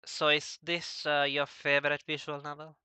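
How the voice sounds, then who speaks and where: A man conducting a casual interview in a halting way, often stammering over the starts of words. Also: on the high side, monotone, pushed and bright, clean tone, no room sound.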